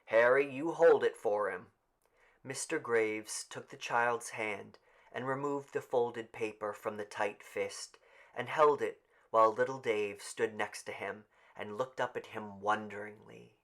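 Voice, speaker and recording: A man's voice: fairly low pitch, fairly even intonation, measured pace, fairly bright tone. A man narrating literary fiction as a scripted audiobook reading.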